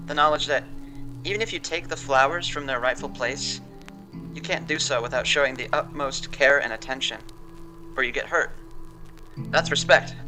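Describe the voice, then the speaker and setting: A man's voice: mid-range voice, lightly acted, poor audio. An actor playing a fussy, digressive male accountant in his thirties, telling his story in an audio drama. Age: 30s. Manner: digressive, opinionated, particular.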